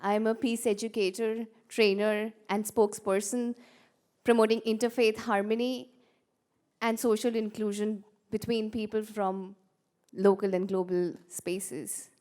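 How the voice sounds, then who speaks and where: A woman from India introducing herself as a peace educator, speaking earnestly with measured pauses. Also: mid-range voice, little pitch movement, a little mellow. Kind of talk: personal introduction at a conference.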